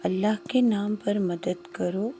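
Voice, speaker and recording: A woman voicing a humble, devout poor cobbler in a story, speaking gently and earnestly. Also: medium pitch, fairly even intonation, slight background noise, close to an everyday voice. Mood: gentle, firm.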